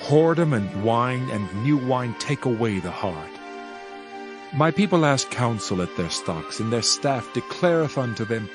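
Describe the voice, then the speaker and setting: Over prominent music, a solemn male prophet, played by a man declaims scripture. His delivery is grave and measured, in a mid-range voice. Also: mid-range voice, natural voice. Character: Hosea, solemn male Old Testament prophet. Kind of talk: dramatized King James scripture narration. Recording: music under the voice.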